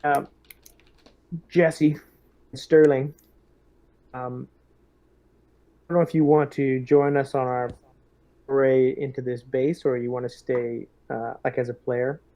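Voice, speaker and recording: A man in a tabletop roleplaying game, speaking as a lieutenant in deliberate, hesitant military talk. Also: mid-range voice, flat delivery, mellow.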